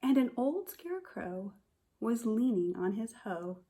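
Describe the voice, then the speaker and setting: A woman kindergarten teacher reading a picture book aloud to young children in a simple, expressive way, speaking to them directly. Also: fairly high pitch, a little slow. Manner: addresses children directly with questions and prompts.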